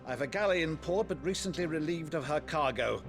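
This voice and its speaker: An actor playing an older nobleman, a blustering but warm-hearted uncle, in a theatrical, grandiloquent video game performance. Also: on the high side, lively intonation, brisk, over music. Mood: wry, hearty. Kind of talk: dramatic video game acting.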